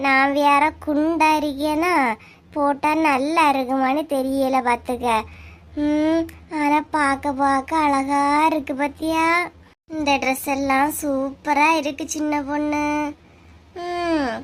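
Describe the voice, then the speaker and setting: A woman acts out a self-conscious cartoon woman with a very high voice. She sounds hesitant and full of longing, in Kanyakumari Tamil. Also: fairly even intonation, slow. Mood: wistful, hesitant. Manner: hesitant, wistful. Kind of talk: cartoon comedy dialogue.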